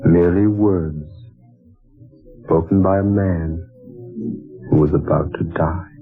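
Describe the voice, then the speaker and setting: A solemn old mystic stranger. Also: male voice, deep voice, fairly even intonation, soft background music. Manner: slow, grave, measured pronouncements. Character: solemn older male mystic stranger, patient and wise. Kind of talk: 1940s radio acting. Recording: narrow tinny old radio broadcast.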